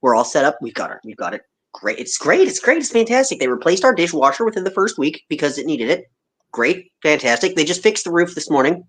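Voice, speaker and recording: A man co-hosting a casual livestream chat, telling stories in an animated, ranting way and mimicking other people's voices. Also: on the high side, fairly quick. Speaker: co-host.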